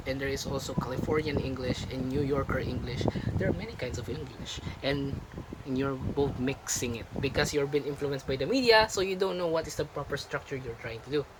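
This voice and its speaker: A young man from the Philippines critiquing a family member's video in casual chat, emphatic and lecturing, repeating his points. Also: mid-range voice. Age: young adult. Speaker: vlogger's son, critic. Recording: noisy home recording.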